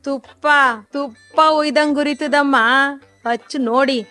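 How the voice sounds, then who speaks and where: A woman's voice: sits high, fairly even intonation, unhurried, soft background music. An actress voicing a chatty, sly old village woman who sells dung cakes, speaking in a rustic dialect and sweet-talking as she counts. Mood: wheedling. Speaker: dung-cake seller. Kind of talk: radio drama acting.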